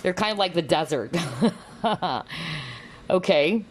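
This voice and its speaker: A woman giving a casual tarot card reading, chatty and animated, often exclaiming and checking in with tag questions. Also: on the low side, slow pace, a little hiss or hum. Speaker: tarot reader.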